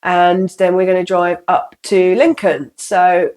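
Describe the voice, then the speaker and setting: Croaky-voiced woman vlogger. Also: fairly low pitch, steady tone, measured pace, darkish tone, a little hiss or hum. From England. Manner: chatty, with fillers and light laughs. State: croaky from a cold. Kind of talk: casual vlog.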